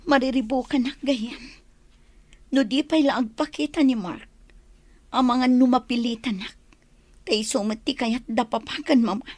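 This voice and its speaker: An actress playing a troubled young woman in an Ilocano radio drama, with a husky voice and plaintive, drawn-out delivery. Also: a little high, darkish tone, no room sound. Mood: anguished. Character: troubled young woman pressed into an unwanted marriage.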